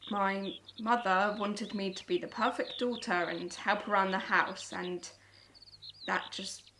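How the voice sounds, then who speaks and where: A woman's voice: medium pitch, fairly even intonation, unhurried, sharp tone. A young woman acting in an audio drama as a guarded, lonely wartime farm worker, speaking hesitantly. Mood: wistful, defensive. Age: young adult. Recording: noisy recording with birdsong. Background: birdsong.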